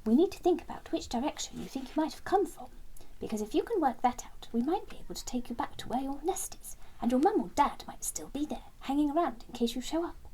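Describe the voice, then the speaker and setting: A woman puts on a busy, confident male animal from a children's storybook. He talks brisk and emphatic, with a high, animated voice that organizes everyone around him. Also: in a whisper, low-quality recording. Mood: thoughtful planning.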